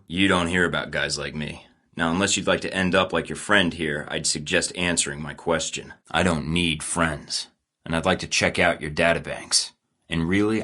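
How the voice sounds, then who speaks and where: A man's voice: low-pitched, sharp tone. An actor playing a confident, sarcastic male secret agent in a video game, delivering dry quips. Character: wisecracking male American spy, confident and sarcastic.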